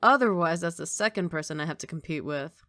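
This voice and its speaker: A woman's voice: low-pitched, lively intonation, brisk, a bit bright, dry recording, clean studio sound. A woman playing a confident, doting young mafia boss girlfriend in an intimate, teasing ASMR romantic roleplay. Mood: playful teasing. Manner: playful teasing, intimate one-sided conversation.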